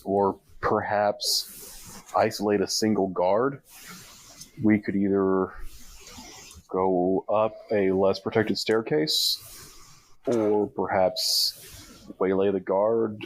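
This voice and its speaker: A low-pitched man plays a character in a tabletop game. He talks in measured, deliberate phrases. The sound is dry and free of room echo. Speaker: tabletop roleplay player.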